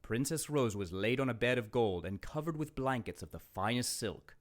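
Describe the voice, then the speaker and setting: A man narrating a children's fairytale as a storybook reader, in a dramatic, expressive way. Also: mid-range voice, normal speed.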